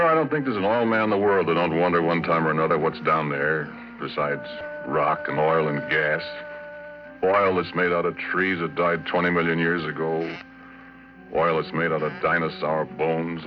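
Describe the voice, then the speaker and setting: A very low, folksy American voice of a middle-aged former roughneck , acted by a man. He rambles through his tale in plain oil-field slang, as in a 1940s radio play. The old broadcast recording is dull and muffled. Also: lightly acted. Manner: folksy, rambling, colloquial asides. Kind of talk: 1940s radio drama, conversational first-person narration.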